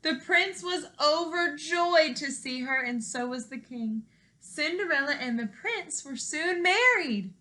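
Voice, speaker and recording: A woman in her thirties, an elementary teacher, speaking to young children in an animated, encouraging read-aloud style at home. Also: sits high, a little slow, low-quality recording. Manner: animated, encouraging, simple questions addressed to children. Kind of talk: expressive children's read-aloud and lesson talk to young pupils. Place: living room at home.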